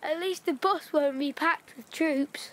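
A woman's voice: sits high, measured pace, fairly bright tone. A woman voicing an eager young boy in wartime Yorkshire, asking wondering questions in a radio play. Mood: wistful.

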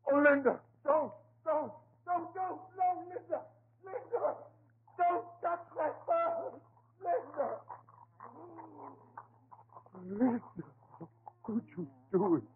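An actor playing a distraught, pleading husband with a terrible secret, in halting 1930s radio horror style. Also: male voice, very high-pitched, animated, slight background noise, full character voice. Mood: anguished. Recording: old muffled 1930s radio, tinny.

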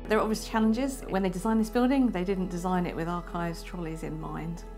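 A woman's voice: fairly low pitch, fairly even intonation, brisk. A woman archivist explaining her work in a matter-of-fact documentary interview. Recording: clear music underneath.

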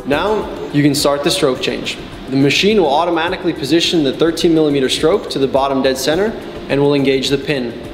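Young man, slightly high voice, instructional narration, measured step-by-step delivery, reverberant sound, background music. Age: 20s. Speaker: technical support and customer trainer.

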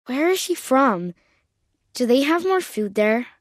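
An actress voicing a worried, hungry refugee child in a video game, talking plainly and anxiously. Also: female voice, sits high, sing-song, a little slow, no echo, clean studio sound. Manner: anxious, wondering, plain-spoken. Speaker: video game background character.

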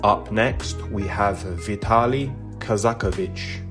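A man's voice: fairly low pitch, slow pace, a bit bright, soft background music. A man narrating a scripted introduction as the host of a video channel.

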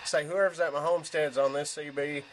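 Folksy man, higher-pitched, with background noise. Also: steady tone, fairly quick. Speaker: hobbyist demonstrating a radio set-up. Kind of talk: casual off-the-cuff demonstration. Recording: home camera with background noise.

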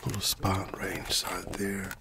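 Man, lowish voice, soft-spoken ASMR with whispering, slow and halting, chummy one-to-one murmur, noisy recording. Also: completely flat delivery. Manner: unhurried, halting, murmuring to a listener as a friend. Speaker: ASMR creator.

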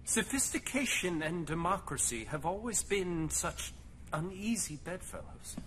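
Pompous, animated, bright-voiced politician. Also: male voice, high-pitched, measured pace, a little hiss or hum. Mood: gushing, smug. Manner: pompous, theatrical, effusive. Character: eccentric, decadent, pompous male politician relishing grotesque delicacies. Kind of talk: video game cutscene acting.

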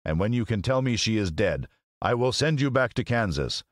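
A man's voice: lowish voice, animated, moderate pace, no room sound, clean studio sound, voice pushed up, natural voice. A man voicing a stern, commanding great wizard in the form of a giant head, speaking in imperious, emphatic pronouncements for a storybook. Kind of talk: storybook character voice.

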